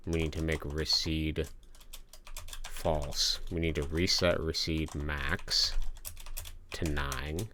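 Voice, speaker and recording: A man's voice: vocal fry, a bit bright, slight background noise. A man streaming himself programming a game, talking through the code step by step with self-mocking asides to his chat, in a soothing voice. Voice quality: soothing low voice. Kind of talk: live-streamed coding, thinking aloud. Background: keyboard typing and clicks.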